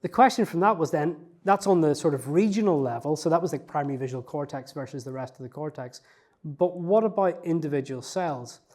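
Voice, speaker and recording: A man's voice: a little high, a little fast, a little mellow. A man, a researcher, answering interview questions in a reflective, self-effacing way, with frequent fillers and restarts. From not from Newcastle.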